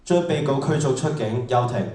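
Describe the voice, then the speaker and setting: A man's voice: medium pitch, normal speed, a little mellow, natural voice. A man playing a stern, officious judge in heaven's court in a radio drama. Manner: officious, authoritative courtroom delivery. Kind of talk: stage radio drama acting. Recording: echoey hall, distant mic, music.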